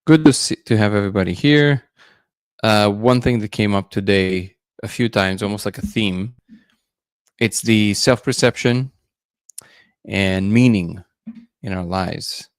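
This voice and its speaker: A man's voice: lowish voice, measured pace, pauses often, clear, resonant tone, dry recording. A man hosting a casual livestream, musing loosely and greeting viewers by name. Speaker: livestream host.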